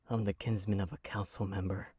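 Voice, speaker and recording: A man playing a frail, exhausted, tender nobleman in an audio drama, speaking haltingly in a husky, breathy voice. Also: on the low side, a little mellow, no room sound. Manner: halting, slow, pleading.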